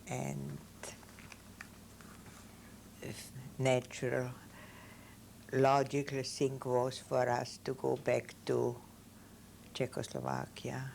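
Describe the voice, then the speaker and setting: A woman in her seventies from Austria with a Viennese German accent, German her first language, giving oral history testimony in slow, halting, simple phrases. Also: a bass voice, gravelly, darkish tone, slight background noise. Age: 70s. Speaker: interviewee.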